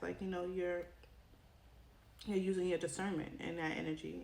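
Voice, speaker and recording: A woman's voice: on the low side, creaky. A woman giving a casual tarot reading straight to her viewers, her voice tiring as she comes down with a cold. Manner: chatty, addresses viewer directly with frequent "right?". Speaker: tarot reader. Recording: echoey room with card shuffling noise. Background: clicks and shuffling cards.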